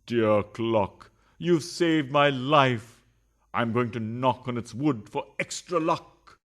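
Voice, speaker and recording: A man's voice: medium pitch, slow pace, fairly bright tone, a little hiss or hum. A man acting a pompous, scheming adulterous politician husband in a farcical radio play, with a sarcastic, theatrical delivery. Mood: melodramatic.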